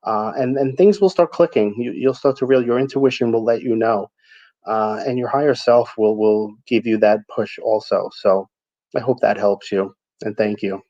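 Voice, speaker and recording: A man's voice: fairly low pitch, normal speed, dark tone, muffled recording. A man from New York with a New York accent, hosting a live psychic reading show, talking earnestly with frequent ums and restarts. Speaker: psychic reader, show host. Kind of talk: live-stream reading and chat with viewers.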